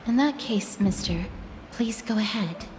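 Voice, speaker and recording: A woman playing a guarded young maiden in a fantasy game, speaking in a formal, measured and politely firm way. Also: medium pitch, lively intonation, close to an everyday voice. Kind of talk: scripted fantasy game voice acting. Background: music.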